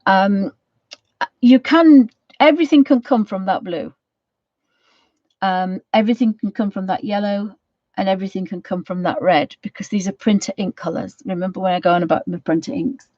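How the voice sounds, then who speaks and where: A woman with a croaky voice from a cold, chatting casually as she gives a painting tutorial and rambling with many ums. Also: medium pitch, moderate pace, darkish tone, dry recording. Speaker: art channel host. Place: home studio. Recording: dry, slightly dull sound.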